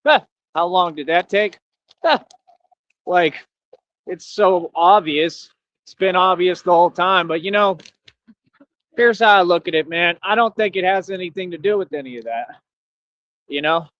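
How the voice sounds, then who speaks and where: A man's voice: high-pitched, normal speed, long pauses, a bit bright, no room sound. A Texan man rambling casually in a self-recorded stream monologue, filling his talk with "you know what I mean". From Texas. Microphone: cheap headphones with mic. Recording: dry, dull lo-fi sound.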